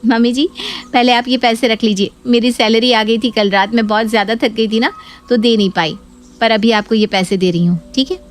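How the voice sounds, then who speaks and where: A woman voicing a calm, polite young daughter-in-law in a spoken story. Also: a little high, a little fast, natural voice. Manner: polite, soothing.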